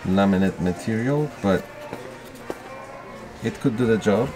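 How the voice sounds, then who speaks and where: A man's voice: on the low side, measured pace, music in the background. A man in his forties chatting casually to camera for a daily vlog, explaining his project as he goes, often restarting sentences. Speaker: vlogger. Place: indoors, garage workshop and stores.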